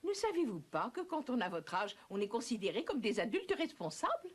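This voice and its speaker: A woman voicing an affectionate, kindly old grandmother pig, gentle but firm, in a French children's cartoon. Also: fairly high pitch, very animated, brisk, slightly rough. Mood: solemn, tender. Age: older. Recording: music behind the voice.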